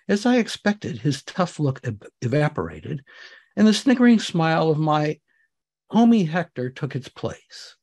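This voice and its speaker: Animated, higher-pitched man reading comic fiction. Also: a little slow. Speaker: author reading own novel. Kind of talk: expressive literary narration of comic fiction.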